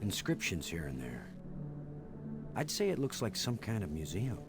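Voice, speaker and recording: An actor playing an earnest, curious astronaut explorer in an adventure video game, with a wry, musing manner. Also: male voice, low-pitched, huge pitch swings, normal speed, exaggerated voice. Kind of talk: 1990s adventure game dialogue and narration of surroundings. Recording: loud music under the voice.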